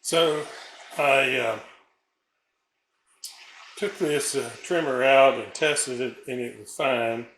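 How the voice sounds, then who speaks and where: A man giving a casual hands-on repair demonstration, thinking aloud in a halting way as he works. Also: medium pitch, fairly bright tone. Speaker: radio repair hobbyist and narrator. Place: indoors at a repair bench. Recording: roomy echo, background noise, small clicks.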